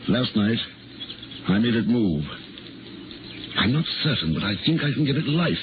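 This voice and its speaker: A man's voice: mid-range voice, very animated, moderate pace, lightly acted. A man playing an obsessed, uneasy surgeon in a 1950s radio drama, speaking in intense, earnest, clipped phrases. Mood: fearful awe. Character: obsessed, uneasy male surgeon turned secret experimenter. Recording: scratchy, tinny 1950s radio broadcast, noisy.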